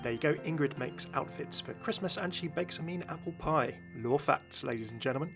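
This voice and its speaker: A man's voice: fairly high pitch, a little fast, vocal fry. Man presenting game commentary over faint music. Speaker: video game commentary host. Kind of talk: informal scripted commentary. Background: faint background music.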